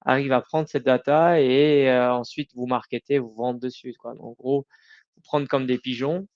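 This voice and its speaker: A man's voice: mid-range voice, fairly even intonation, a little mellow, lo-fi sound. A middle-aged man answering interview questions informally, often breaking off and rephrasing. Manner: informal, halting, self-correcting. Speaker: podcast guest, company founder.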